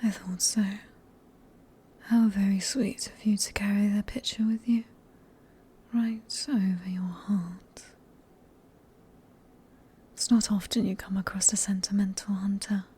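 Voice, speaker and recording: A woman with a British accent playing a sadistic, possessive vampire in a whispered ASMR roleplay, speaking in a breathy, teasing, genteel and condescending manner. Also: mid-range voice, little pitch movement, unhurried, pauses often, warm tone. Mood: mocking curiosity. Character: sadistic, possessive female vampire, silkily polite and manipulative.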